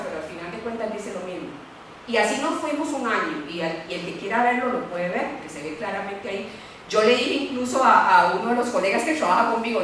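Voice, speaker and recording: A middle-aged woman lawyer, speaking on a university panel in a candid, animated way and often adding "¿verdad?". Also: fairly high pitch, a little hiss or hum. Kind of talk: panel talk. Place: lecture room. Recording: echoey room, voice from far off.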